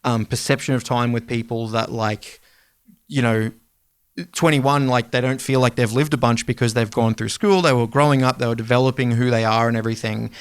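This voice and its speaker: A man co-hosting a podcast in loose, rambling conversation, his voice smooth and clear. The recording is dry and close, with no room sound. He sometimes lets his voice sink low and quiet mid-thought. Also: steady tone, a little fast, no echo. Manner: rambling, conversational, drops to a low mumble at times. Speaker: podcast co-host. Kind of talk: casual chat.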